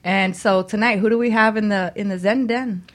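A woman's voice: mid-range voice, steady tone, moderate pace. A woman co-hosting a casual radio talk show with easygoing banter. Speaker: radio co-host.

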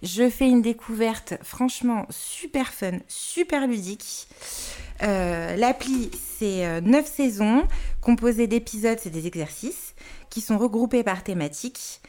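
A woman's voice: a little high, animated, unhurried, mellow. A woman presenting a podcast segment to listeners, enthusiastic and chatty, with frequent hesitations. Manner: enthusiastic, hesitant with many fillers and restarts. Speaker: podcast columnist. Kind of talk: casual chat reporting to listeners.

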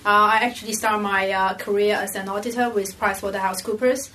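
A woman's voice: mid-range voice, flat delivery, fast, pushed and bright, distant mic, a little hiss or hum. A young woman with a Hong Kong accent introducing her career informally as a podcast guest. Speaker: podcast guest, finance professional.

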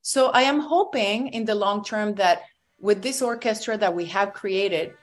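A woman's voice: medium pitch, unhurried. A woman from Venezuela, a singer and bandleader, speaking earnestly in a radio interview, often restarting and saying "you know".

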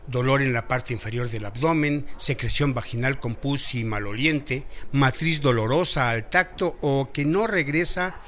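A man's voice: mid-range voice, measured pace, hardly any pauses, fairly bright tone, slight background noise, close to an everyday voice. An actor playing a friendly, respectful male doctor with a Mexican accent, explaining things didactically in an educational radio drama. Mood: instructive. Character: friendly instructive male doctor teaching village women.

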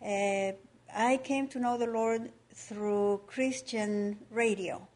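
A woman's voice: medium pitch, steady tone, takes it slowly, a little mellow. A middle-aged woman from Ecuador giving a personal testimony at church, careful and hesitant. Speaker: missionary's wife giving testimony.

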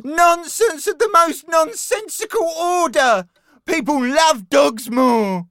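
A woman's voice: high-pitched, slow, fairly bright tone, no echo, clean studio sound, exaggerated voice. A woman voicing a proud, easily wounded little male dog who splutters huffy protests in a dramatised children's audiobook. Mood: exasperated.

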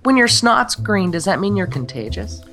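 Congested woman with a lively voice. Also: mid-range voice, moderate pace. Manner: blunt, teasing, swears freely. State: stuffy and congested from a cold. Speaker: podcast co-host and radio presenter. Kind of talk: casual chat.

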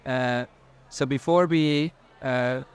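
A man moderating a live radio panel discussion, pausing often with fillers and restarting his sentences. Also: mid-range voice, fairly even intonation, smooth. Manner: halting, many fillers and restarts.

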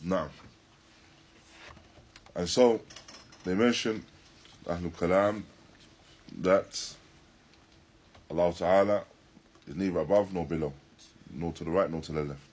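A man teaching in a religious lecture, deliberate and emphatic, repeating and rephrasing his phrases as he explains a text. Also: low voice, a little mellow, slightly rough. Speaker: religious teacher giving a lesson. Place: Islamic centre, live stream.

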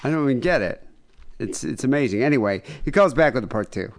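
Male co-host, casual, crude humor, animated pitch. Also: fast. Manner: crude, joking. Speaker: podcast co-host. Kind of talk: casual chat.

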